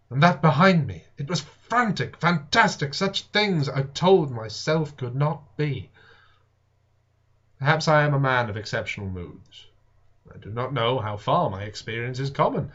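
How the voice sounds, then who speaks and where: A man reading a classic science fiction novel aloud as dramatic narration, with deliberate, expressive, theatrical phrasing. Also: mid-range voice. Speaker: audiobook reader. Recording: dull lo-fi home recording.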